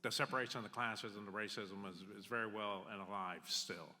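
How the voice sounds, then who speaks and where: A man's voice: on the low side, fairly even intonation, brisk, sharp tone. A man making an earnest, emphatic unscripted comment at a live discussion in a large hall. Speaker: discussion participant. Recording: echoey hall, long reverb.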